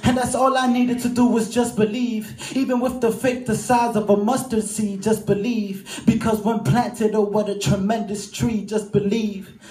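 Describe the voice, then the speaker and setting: High-voiced man performing echoey spoken word. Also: level tone, normal speed, hardly any pauses, a little mellow. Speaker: spoken word poet at a church youth service. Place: church hall during a live service. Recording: roomy live church sound over music. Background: music.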